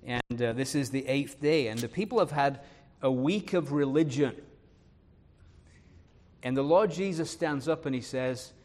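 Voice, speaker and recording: A male preacher from northern England speaks in a Leeds accent blended with some Irish and American sounds. His pitch sits in the ordinary middle range. He reads scripture slowly and stresses the words, and his plain talk is earnest and full of "uh" pauses. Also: a little mellow. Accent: Leeds English, touched by Irish and American. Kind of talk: sermon with scripture reading.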